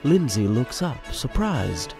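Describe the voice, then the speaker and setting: A man's voice: medium pitch, big pitch swings, slow, gravelly, mellow, soft background music. A man narrating a radio play in the present tense with a conversational storytelling manner.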